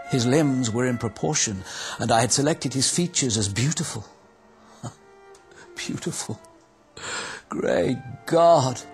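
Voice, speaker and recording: An actor playing a horrified, anguished young scientist in a dramatic gothic monologue, with music behind him. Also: male voice, medium pitch, natural voice.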